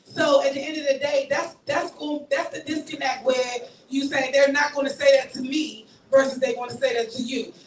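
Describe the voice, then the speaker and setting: A woman host with a raspy voice, giving blunt, forceful opinions in a heated casual discussion. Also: sits high, fairly even intonation, normal speed, hardly any pauses, echoey room, distant mic, a little hiss or hum, low-quality recording. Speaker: show host.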